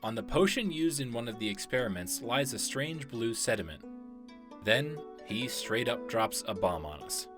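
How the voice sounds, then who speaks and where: A man's voice: medium pitch, sing-song, moderate pace. A man delivering scripted explanatory narration as a video essayist on game lore. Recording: voice-over with prominent music. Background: music.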